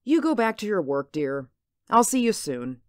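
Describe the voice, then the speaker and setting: Woman acting as anxious mom in a radio play, unhurried, very expressive pitch, dry sound. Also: dry recording, natural voice. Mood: nervous, evasive. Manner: hesitant, anxious. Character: uneasy, worried suburban mother, trying to stay firm.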